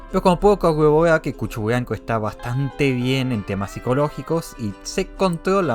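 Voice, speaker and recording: A young Argentine man reads a scripted video essay in a lively, animated voice that swings widely in pitch. His speech impediment drops one sound. Faint music plays underneath. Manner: lively, expressive delivery with wide pitch swings.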